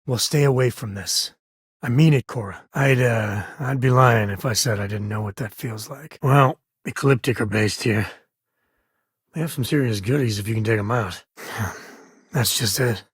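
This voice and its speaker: An actor playing a rugged, wry space-frontier explorer and caring father in a video game, with a husky, raspy voice and folksy, conversational phrasing. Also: mid-range voice, normal speed, no room sound, studio quality. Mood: stern, protective, then candid, wry. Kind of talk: video game companion dialogue.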